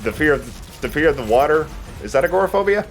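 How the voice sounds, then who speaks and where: A man's voice: a little high, big pitch swings, quick pace, soft background music. A man giving casual, chatty gaming commentary to a friend as he plays. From United States. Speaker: let's play host playing the game.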